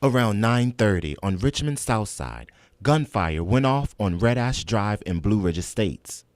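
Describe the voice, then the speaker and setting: A man's voice: on the low side, animated, a little slow, no echo. An American man narrating scripted television news reports as a reporter.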